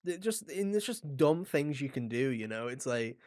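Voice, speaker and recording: A man co-hosting a gaming podcast, chatting casually and enthusiastically in an animated, rambling way. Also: medium pitch, normal speed, no room sound, clean studio sound. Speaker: podcast co-host reviewing a video game.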